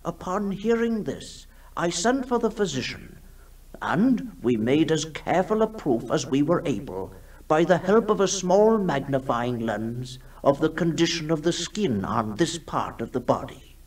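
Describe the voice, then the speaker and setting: A fussy period gentleman in an old radio play, husky and slow. His formal delivery halts and swoops, a little away from the mic. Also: a little high, big pitch swings. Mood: grave. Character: scholarly, hesitant old-time gentleman writing a solemn account. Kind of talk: 1960s radio drama acting. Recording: distant 1960s radio recording.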